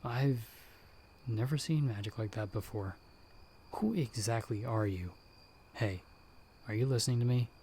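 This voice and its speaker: A man playing a battle-hardened werewolf hunter in an ASMR audio roleplay, speaking directly to the listener in a measured, dryly understated way. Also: medium pitch, dark tone, a little hiss or hum, close to an everyday voice. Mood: baffled. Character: battle-hardened male werewolf hunter with healing magic, calm mentor.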